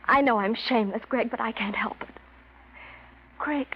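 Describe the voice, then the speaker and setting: A woman's voice: fairly high pitch, normal speed, slight background noise, natural voice. An actress playing a scheming, passionate woman with a theatrical, imperious manner in a 1940s radio drama. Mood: ardent. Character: scheming, passionate woman in love with her cousin's fiance. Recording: dull vintage radio recording.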